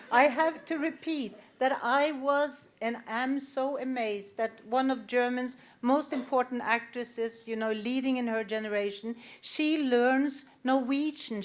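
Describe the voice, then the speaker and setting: A woman on a festival panel talks slowly and warmly, praising a colleague. Her voice sits high, and she takes her time with each phrase. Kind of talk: panel talk.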